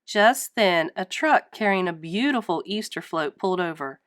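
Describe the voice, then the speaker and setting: A woman reading a children's story aloud in an animated narrating style. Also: on the low side, normal speed, no echo, studio quality. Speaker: storybook reader.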